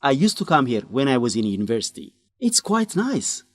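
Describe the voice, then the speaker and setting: Amiable Ethiopian man, swinging lively intonation, medium pitch, radio drama, dry sound. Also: fairly quick, no room sound. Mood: relaxed, pleased. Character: Alemayehu, amiable Ethiopian man, former university student. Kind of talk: scripted educational radio drama acting.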